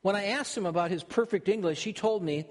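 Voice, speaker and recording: An older man with a Minnesotan accent preaches in a conversational way, often starting a sentence over. His voice sits a little high for a man. A long reverb trails each phrase, as in a large hall. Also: a little fast. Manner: conversational, with frequent restarts. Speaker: pastor. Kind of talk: sermon.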